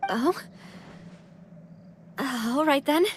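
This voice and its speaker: A woman's voice: sits high, drawn-out words, slight background noise. An actress playing an earnest, polite young lady leader who is easily puzzled, in a video game. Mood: confused. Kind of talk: English video game voice acting.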